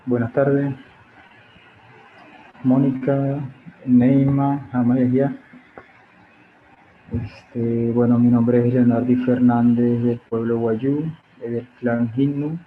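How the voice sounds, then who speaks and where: A man from Colombia, a workshop participant, greeting the group slowly with fillers over a video call. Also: medium pitch, level tone. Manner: slow, formal greetings with fillers. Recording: tinny phone-like line with faint noise.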